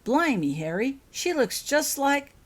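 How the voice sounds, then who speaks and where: A woman's voice: sits high, very animated, measured pace, voice pushed up. A woman voicing a huge, cheerful bearded man in a storybook, with hearty, folksy speech. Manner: hearty, folksy dropped words. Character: huge, cheerful, bushy-bearded male giant of a friend.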